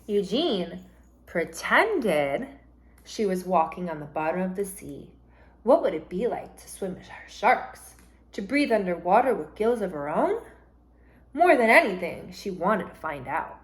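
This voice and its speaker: A woman reads aloud as a teacher would to young pupils, with a lively, swooping intonation. Her pace is slow and deliberate, and her delivery is instructive, made for children following a storybook and learning new words. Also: on the low side. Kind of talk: storybook read-aloud with vocabulary explanations.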